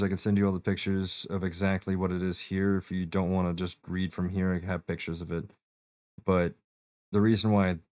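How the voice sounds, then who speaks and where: A man with a deep voice talks a friend through settings in a relaxed, plain way, with plenty of fillers. His tone sits low. The sound is dry, with no room around it. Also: no room sound. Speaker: streamer giving setup help. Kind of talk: casual walkthrough.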